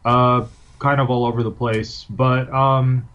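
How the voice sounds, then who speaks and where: A man's voice: medium pitch, level tone, unhurried, a bit bright, clear, resonant tone, highs cut off. A man from Ukraine, a writer appearing as a guest, answering questions hesitantly with many ums and uhs. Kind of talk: interview answers.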